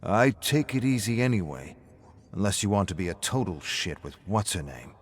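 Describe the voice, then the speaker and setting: A wry, chiding barman played by a male narrator in an audio story. His voice sits fairly low and sounds like an old friend who sees through excuses, with faint noise behind it. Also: big pitch swings, moderate pace.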